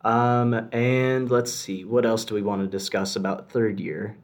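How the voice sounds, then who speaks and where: A young man in his twenties talks in a somewhat low voice, casual and loose, circling around his points. He offers advice in a relaxed conversational way, the way a student talks to peers. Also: unhurried. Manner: rambling, lots of fillers and restarts. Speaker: medical student. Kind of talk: casual podcast discussion.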